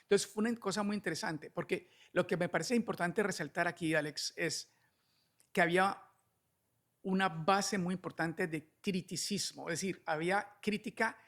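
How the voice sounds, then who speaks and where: Middle-aged man, Colombian accent, fairly high pitch, vocal fry, conversational explainer, frequent tag questions. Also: normal speed, fairly bright tone. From Cali, Colombia. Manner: frequent checking tags like "¿no?". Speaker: podcast guest, alternative medicine doctor.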